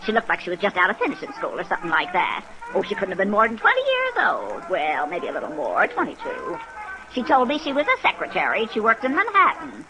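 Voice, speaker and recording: Gossipy landlady with swooping pitch. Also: wildly swooping pitch, quick pace, rough recording. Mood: disapproving. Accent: American. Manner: talkative, emphatic, colloquial. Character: disapproving, gossipy New York landlady. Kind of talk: 1950s radio acting.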